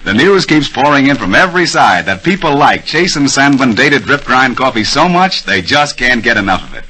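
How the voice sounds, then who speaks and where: An American man working as a radio announcer, delivering an emphatic, folksy 1930s advertising pitch on a vintage broadcast. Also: sing-song, normal speed, pushed and bright, slightly rough, poor audio.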